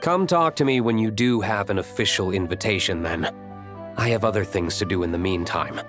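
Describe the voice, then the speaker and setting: A man's voice: on the low side, brisk, lightly acted. A man voicing a reserved, businesslike character in a video game, with a dry manner. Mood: dry, weary. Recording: clear music under the voice.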